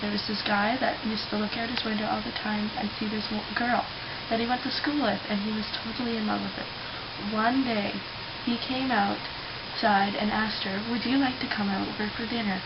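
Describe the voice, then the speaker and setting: A young woman chatting and telling stories casually as a vlogger, with an informal, halting, giggly manner. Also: medium pitch, fairly even intonation. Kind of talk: casual chat and rambling storytelling. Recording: muffled webcam-style audio with loud hiss.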